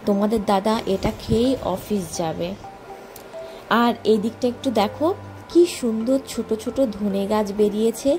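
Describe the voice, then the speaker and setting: A woman's voice: mid-range voice, moderate pace, darkish tone, poor audio. A woman with an Indian Bengali accent narrating her daily homemaker vlog in simple, conversational phrasing. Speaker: homemaker vlogger and mother of a young child. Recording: music laid under the voice. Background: music.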